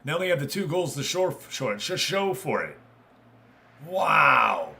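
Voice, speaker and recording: A man commentating live on football management game matches as a streamer, mixing play-by-play with casual asides in an excitable manner. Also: on the high side, a little slow, gravelly.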